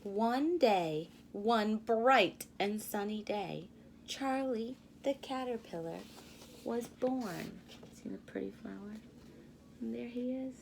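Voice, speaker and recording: A woman in her thirties reading a children's storybook aloud like a teacher, expressively, pausing to explain and ask questions. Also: a little high, expressive, creaky, a little mellow, slight background noise.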